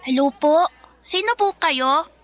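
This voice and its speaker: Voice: sits high, expressive, slow, full character voice. An actor voicing a sweet, eager, polite little child with a small voice in a radio drama. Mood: curious. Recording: loud music bed behind.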